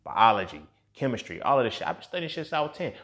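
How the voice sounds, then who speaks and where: Man, 30, solo podcast host. Mid-pitched, very animated delivery; blunt, sweary, slangy street talk. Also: racing. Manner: blunt, heavily profane, slangy; emphatic, with rhetorical questions and check-ins. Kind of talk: casual, unscripted solo podcast monologue.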